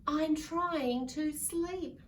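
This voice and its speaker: A woman voicing a small sleepy storybook possum girl, politely hushing her visitors with drawn-out pleas. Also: high voice, pitched above the performer's own voice. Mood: sleepy, pleading. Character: small sleepy female possum, polite but wanting quiet. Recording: distant mic with faint background noise.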